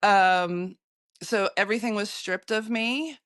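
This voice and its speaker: An American woman, a photographer, recounting her travels in an interview, halting often with fillers and restarts. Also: mid-range voice, fairly bright tone, no room sound, clean studio sound. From United States.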